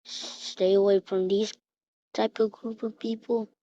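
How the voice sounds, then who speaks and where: Slow, halting woman reading a mock emergency alert. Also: mid-range voice, level tone, warm tone. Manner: halting, repeats and restarts phrases. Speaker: emergency alert announcer in a hobby mock broadcast. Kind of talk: improvised emergency alert announcement. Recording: dull lo-fi recording.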